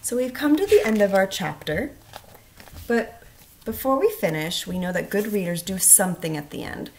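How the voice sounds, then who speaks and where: A woman teacher reading a storybook aloud to children, expressive and instructional, asking questions as she goes. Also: medium pitch, normal speed, a little hiss or hum. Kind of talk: storybook read-aloud with questions to young listeners.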